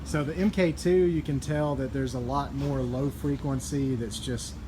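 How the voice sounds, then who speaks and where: A man's voice: mid-range voice, steady tone, moderate pace. A man giving a casual, conversational product demonstration as an audio gear reviewer and dealer, explaining things informally, recorded in a noisy room.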